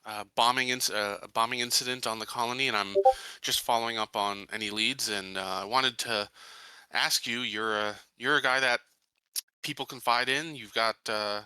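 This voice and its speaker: A man playing a tabletop roleplaying game, speaking casually and haltingly as a questioning sheriff. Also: medium pitch, fairly even intonation, bright, forceful tone, clean tone, dry recording, rough recording.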